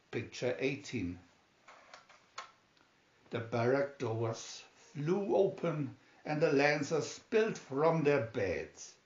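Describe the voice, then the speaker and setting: Male storybook narrator: unhurried, theatrical emphasis, mid-pitched, roomy home recording. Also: highs cut off. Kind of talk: dramatic scripted narration.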